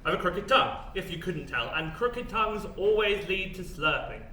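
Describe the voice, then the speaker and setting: Droll, high-voiced officious man. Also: sing-song, normal speed, sharp tone, heavily acted. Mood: droll, brisk. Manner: formal, droll, pedantic. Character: droll, officious male overseer addressing his charges. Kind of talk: stage monologue, announcement. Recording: roomy distant stage sound with noise.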